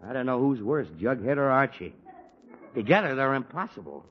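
A man's voice: mid-range voice, lively intonation, fairly quick, husky. An actor playing an exasperated middle-aged father who sputters and grumbles, in 1940s radio acting. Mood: weary grumbling. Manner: blustery, sputtering, often cut off mid-sentence. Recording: dull lo-fi 1940s radio recording.

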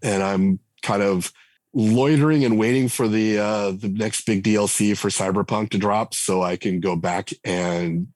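A man, a game designer and writer, talking reflectively as a podcast guest, pausing often on fillers. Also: on the low side, a little mellow, no room sound. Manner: reflective, hesitant with frequent fillers. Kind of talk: casual interview talk.

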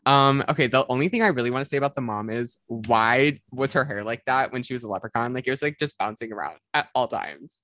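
A teenage boy hosting his own podcast, talking in a loose, rambling way and filling his sentences with "like." His voice sits at an ordinary pitch. It is recorded dry and close, with no room sound. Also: fast, few pauses, bright, forceful tone, no echo. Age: teens. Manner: chatty, rambling, lots of "like". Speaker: podcast host. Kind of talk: casual chat.

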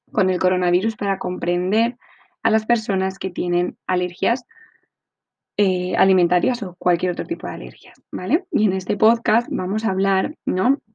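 A woman talks through a podcast episode in a loose, chatty way, with many pauses and tag questions. Her voice sits at a middling pitch. The recording sounds a little dull and lo-fi. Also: a little mellow. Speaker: podcast host. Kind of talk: informal podcast monologue.

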